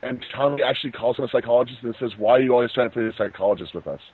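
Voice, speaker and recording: A man's voice: mid-range voice, rapid-fire, slightly rough. A man riffing casually on a movie with friends in a joking, mock-dramatic way. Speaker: movie commentary host. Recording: roomy, tinny phone-like internet call.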